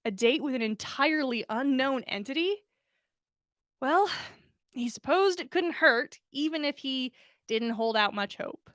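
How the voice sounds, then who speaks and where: A woman narrating a story aloud in an expressive storytelling style, as a podcast host reading fiction. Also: high voice, unhurried, no echo, studio quality.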